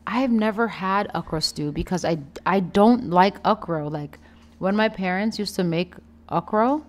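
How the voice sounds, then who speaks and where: A young woman, giving a chatty, playful and expressive casual vlog voice-over. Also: mid-range voice, normal speed. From Canada. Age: young adult. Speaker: vlogger, food reviewer. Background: background music.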